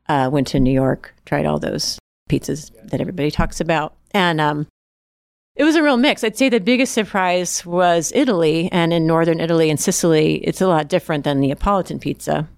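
A woman chef talks through her story in a relaxed, meandering way, often restarting her sentences and adding fillers. Her voice sits low, and the sound is dry, with no room around it. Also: no echo. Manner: rambling, restarts, many "like"s. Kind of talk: casual interview answers.

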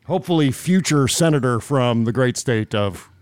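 A man's voice: medium pitch, expressive, moderate pace, darkish tone, smooth, no room sound. An American man hosting political talk, commenting in an emphatic, informal and profane way. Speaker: podcast host. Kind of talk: political commentary with casual chat.

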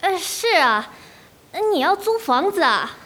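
Brief: actress as an elderly blind mother in a radio play, halting and unsure, slow and high. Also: big pitch swings, sharp tone, exaggerated voice. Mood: hesitant. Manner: hesitant, with halting fillers. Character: old blind mother living alone.

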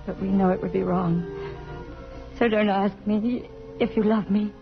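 An actress playing a wistful, self-sacrificing lover in a 1950s radio drama, speaking in a measured, earnest way. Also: female voice, medium pitch, steady tone, lightly acted. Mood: resigned, tender. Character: wistful, self-sacrificing woman in a doomed love affair. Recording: dull vintage radio, music behind.

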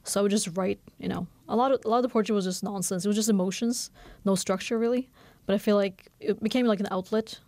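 Novelist guest, woman in her thirties, quick, candid, lots of "you know", some vocal fry, dry studio sound. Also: medium pitch, no echo. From Bangladesh. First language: Norwegian. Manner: fast, candid, animated with many fillers. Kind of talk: conversational radio interview.

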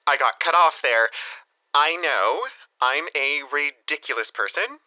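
An actor playing a rambling, self-pitying lovesick ex-boyfriend in his thirties, halting and confessional, in an audio comedy drama. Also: fairly high pitch, muffled recording, noisy background, lightly acted. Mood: earnest, pleading. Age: 30s.

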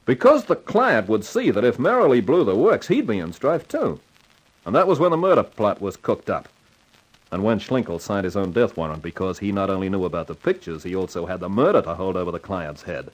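An actor playing a self-assured, slangy, wry amateur sleuth in a 1950s radio crime drama. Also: male voice, lowish voice, big pitch swings, a little fast, low-quality recording. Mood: confident. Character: wisecracking, hard-boiled male amateur sleuth, self-assured.

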